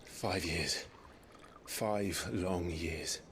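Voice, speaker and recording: A man's voice: low-pitched, extremely slow, fairly bright tone, a little hiss or hum, exaggerated voice. A man playing a determined, burdened warrior leader in a fantasy game, earnest and intense. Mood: frustrated, burdened. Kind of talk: cinematic fantasy game drama.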